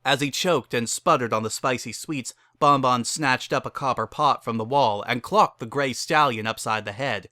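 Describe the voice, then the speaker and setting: A man's voice: medium pitch, moderate pace, hardly any pauses, pushed and bright, dry recording. A man reading a scripted story aloud as a dramatic narrator.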